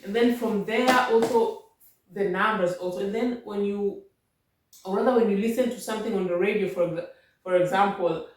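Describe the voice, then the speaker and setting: Kenyan woman, casual home vlogger, patient and repetitive; boomy echoing room, off-mic. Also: normal speed, distant mic. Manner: repetitive, restarts and rephrases, patient explaining. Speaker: vlogger giving language-learning tips. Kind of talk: casual advice talk.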